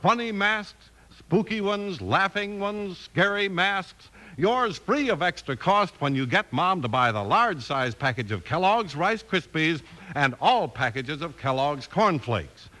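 A man's voice: high voice, expressive, unhurried, pushed and bright. An American man announcing and narrating in scripted 1950s radio style.

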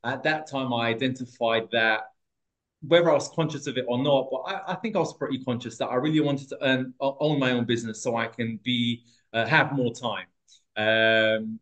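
A man's voice: medium pitch, fairly bright tone, rough recording. A man from Portugal in his thirties, a podcast guest telling his life story in a casual, hesitant way with many restarts and fillers.

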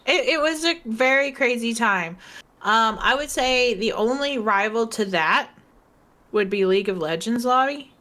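A woman's voice: on the high side, expressive, unhurried, pushed and bright. Rambling, giggly woman podcast co-host. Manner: rambling, giggly, lots of fillers. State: tipsy and socially drained. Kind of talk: casual chat.

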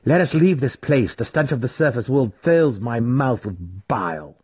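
A man voicing an intense, defiant young male dark elf warrior in a dramatic fantasy audiobook. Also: medium pitch, lively intonation, normal speed, husky, voice pushed up. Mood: growling anger. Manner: intense, growling threats.

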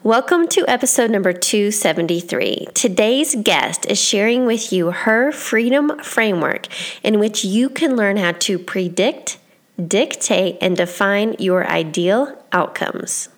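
A woman's voice: medium pitch, expressive, measured pace. A woman hosting a motivational podcast, reading an upbeat intro and asking her guest questions. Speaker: podcast host. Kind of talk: scripted intro, then interview questions.

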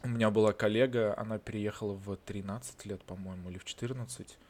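A man's voice: fairly low pitch, fast talker. A man from Russia in casual chat, talking bluntly and swearing often. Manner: swears a lot, animated and blunt. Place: lives in Israel.

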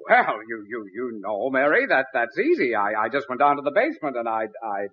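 A man's voice: medium pitch, very animated, fairly quick, lightly acted. An American man acting a flustered, put-upon middle-aged father who sputters and blusters in a 1940s radio comedy. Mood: flustered bluffing. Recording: muffled vintage 1940s radio broadcast.

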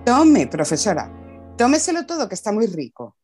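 A woman's voice: medium pitch, wildly swooping pitch, normal speed, darkish tone, soft background music. A woman playing Manola, a chatty, sly bar waitress, in a radio soap. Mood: sweetly coaxing. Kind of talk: Spanish-language radio soap acting.

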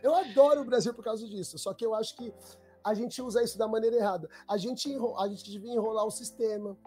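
A young Brazilian man, a podcast guest telling stories in relaxed, slang-heavy casual chat. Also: high-pitched, fast, soft background music. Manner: slangy street talk, relaxed. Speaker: documentary maker, guest.

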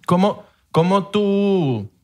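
A man's voice: high-pitched, animated, extremely slow. A man co-hosting a podcast, asking the guest laid-back questions in casual banter. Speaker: podcast co-host.

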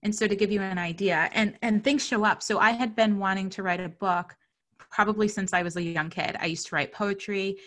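Woman, thirties, interview guest, chatty, animated storytelling, medium pitch. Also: fairly quick. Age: 30s. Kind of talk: casual conversational interview.

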